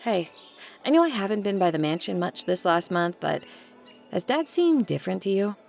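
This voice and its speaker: A woman's voice: mid-range voice, very animated, moderate pace, vocal fry, a little mellow. A woman playing a guarded, blunt adult daughter of a wealthy family in an audio drama, with a dry, curt way of talking. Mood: wry, uneasy. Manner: dry, curt, bossy with siblings.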